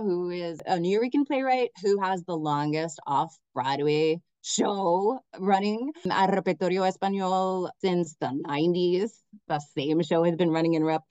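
Middle-aged woman, eager theater director type, lowish voice, dry muffled lo-fi sound. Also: mellow, no room sound. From Miami, United States. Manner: enthusiastic, restarts often. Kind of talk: podcast interview.

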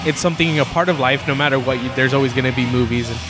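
A man's voice: mid-range voice, racing, over music. A young man whose first language is Spanish, interviewing a film club member in a friendly, encouraging way. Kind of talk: casual interview.

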